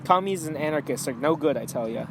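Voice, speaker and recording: Man playing an opinionated, slightly high-voiced 1920s author. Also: lively intonation, fast, fairly bright tone. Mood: indignant. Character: opinionated, alarmist 1920s male author on radio. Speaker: talk show guest, author. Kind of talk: 1920s radio interview acting. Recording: noisy amateur recording.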